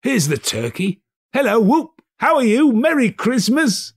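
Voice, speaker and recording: A man's voice: extremely high pitch, very animated, breathy edge, pitched above the performer's own voice, exaggerated voice. A man voicing an elderly reformed miser for an audiobook, giddy and gushing with delight, drawing words out in exclamations. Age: older. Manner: exclamatory, drawn-out, gushing delivery. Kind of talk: dramatised audiobook character voice.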